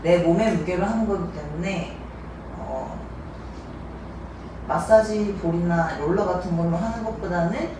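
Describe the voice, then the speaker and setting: A woman's voice: lowish voice, a little slow, pauses often, background noise. A young woman, a stretching instructor, patiently explaining calf stretches step by step while demonstrating them. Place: bright living room with wooden floor. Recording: echoey room, distant mic, loud music bed.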